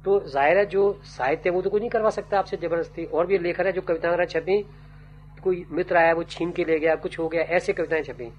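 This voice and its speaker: Older man, high voice, reflective and halting, muffled tape recording with faint hum. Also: fairly even intonation. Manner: slow, musing, trailing off with fillers. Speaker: writer and poet interviewee. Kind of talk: reflective interview answers.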